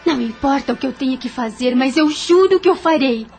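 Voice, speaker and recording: A woman playing a compassionate young heroine of an audio novel. Her voice is very high, impassioned and defiant, with music behind it. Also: animated, fairly quick, darkish tone. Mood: anguished resolve. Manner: impassioned, dramatic.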